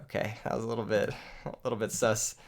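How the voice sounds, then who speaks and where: A man's voice: on the low side, little pitch movement, fast talker. A young man who has lost his voice to illness, joking and laughing as he commentates a card game he is playing for his channel. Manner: joking, self-mocking, laughs often. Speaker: gaming YouTuber. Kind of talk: live gameplay commentary.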